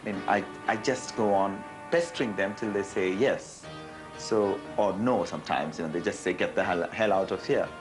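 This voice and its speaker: A man's voice: low-pitched, normal speed, over music. A man with an Indian accent speaking candidly and animatedly in an interview, often restarting his sentences. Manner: animated, self-deprecating, with frequent restarts.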